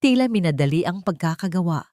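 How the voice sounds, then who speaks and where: A woman with a Filipino accent reading a dramatic romance story in a measured, solemn way. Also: fairly low pitch, animated, dark tone, dry recording, clean studio sound. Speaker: story narrator.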